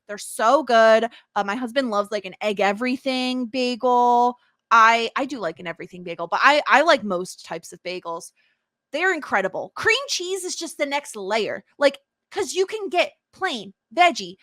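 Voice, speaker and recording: Lively bright-voiced American woman. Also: a little high, moderate pace, no echo. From New York State, USA. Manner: animated, laughs often, playful. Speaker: podcast co-host. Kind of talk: casual chat.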